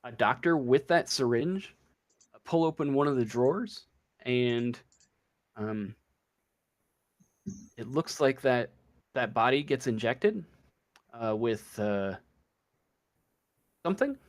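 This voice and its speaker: A man narrating a tabletop role-playing game as game master, improvising scenes in a halting, hesitant way. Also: medium pitch, animated. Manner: halting, hesitant, feeling for words.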